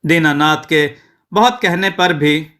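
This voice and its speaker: Male narrator, moral storytelling, slow delivery, bright forceful tone, slightly high pitch, measured phrasing.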